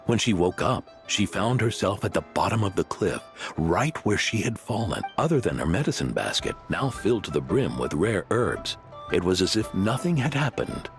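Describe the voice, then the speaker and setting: A man's voice: deep voice, sing-song, moderate pace. An actor playing a proud older male guest house owner in a video game, speaking in a theatrical, emphatic storytelling manner. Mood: earnest storytelling. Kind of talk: animated game dialogue, storytelling. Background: music.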